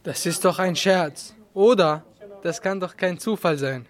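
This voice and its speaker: A man's voice: high voice, measured pace. A young male pupil playing a grumbling young man in an amateur school radio play. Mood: indignant. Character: grumbling young man, food lover, hates Paris.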